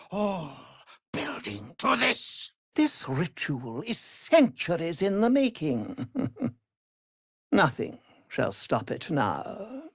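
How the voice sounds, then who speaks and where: An actor playing a cruel, pompous immortal vampire lord in a fantasy video game, menacing and theatrical, moving between hushed threats and grand declarations. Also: male voice, sits high, gravelly, no room sound, clean studio sound, full character voice.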